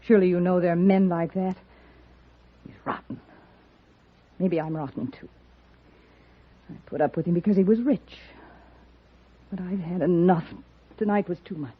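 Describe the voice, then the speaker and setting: An actress playing a bitter, worldly woman in a 1950s radio western, husky and sardonic with a dramatic delivery. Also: fairly low pitch, normal speed, pauses often. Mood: bitter, scornful. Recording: slightly muffled vintage radio recording.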